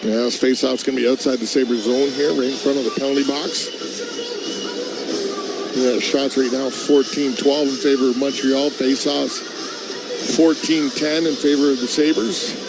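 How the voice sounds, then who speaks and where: A man's voice: mid-range voice, little pitch movement, a little slow, over music, poor audio. A man with a North American accent giving conversational live hockey analysis as a color commentator in a booth. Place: broadcast booth at a hockey arena.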